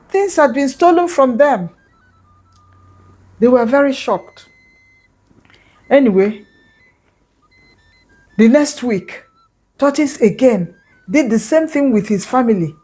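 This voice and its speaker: High-voiced Nigerian woman telling a folktale. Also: a little mellow. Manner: conversational, with explanatory asides. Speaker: storyteller. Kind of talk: oral folktale narration.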